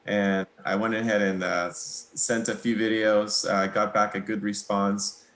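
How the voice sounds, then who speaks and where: A young man from Mexico whose first language is Spanish, answering interview questions in a relaxed, hesitant way with many pauses and small laughs. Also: fairly low pitch, roomy sound, poor audio. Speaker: guitarist, interview guest.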